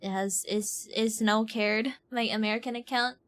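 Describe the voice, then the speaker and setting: A woman live streamer chatting casually as she plays a game, playful, expressive and prone to exclamations. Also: a little high, normal speed. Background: game music.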